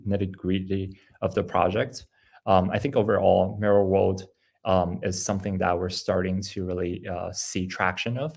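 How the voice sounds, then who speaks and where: A man's voice: low voice, gravelly, darkish tone. A man presenting his game project on a video call, talking loosely with many ums and restarts. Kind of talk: casual online presentation.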